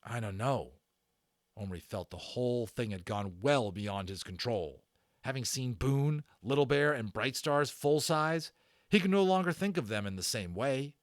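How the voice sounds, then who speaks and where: Man, very lively pitch, dry close sound, theatrical storyteller, dramatic pauses. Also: no echo. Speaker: audiobook narrator and channel host. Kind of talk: dramatic read-aloud storytelling of a children's novel.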